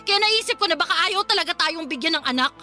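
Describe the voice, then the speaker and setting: Piercingly high, bitter grieving young wife. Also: female voice, animated, quick pace, bright, forceful tone, soft background music, full character voice. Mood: angry, bitter. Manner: emotional, snappish delivery. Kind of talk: radio drama acting.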